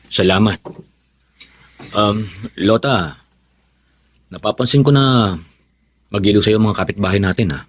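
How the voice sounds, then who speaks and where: Husky, low-voiced cautious husband. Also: male voice. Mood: hesitant concern. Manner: measured, admonishing. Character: cautious, stern husband warning his wife. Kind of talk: Tagalog radio drama acting.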